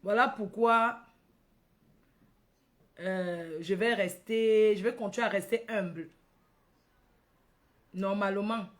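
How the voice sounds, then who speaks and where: A woman's voice: medium pitch, takes it slowly, long pauses. A woman from Cameroon with a Cameroonian accent hosting a casual livestream, addressing followers by name, repeating phrases and tagging on questions. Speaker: livestream host.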